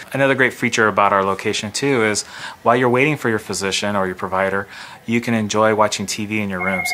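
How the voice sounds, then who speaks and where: A man's voice: lowish voice, bright, forceful tone. An American man in his forties presenting a clinic as its nurse manager in a promotional interview. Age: 40s. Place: clinic reception area indoors.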